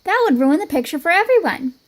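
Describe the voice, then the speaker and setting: A woman's voice: extremely high pitch, sing-song, fast. A woman voicing a patient but firm male schoolteacher, polite and instructive, in a storybook.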